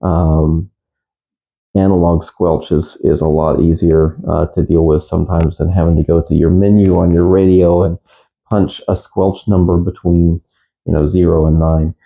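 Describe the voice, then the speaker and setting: A man giving a casual, unscripted gear review as a radio hobbyist, speaking in a halting, matter-of-fact way. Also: low-pitched, level tone, vocal fry, phone-quality sound. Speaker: amateur radio hobbyist presenter.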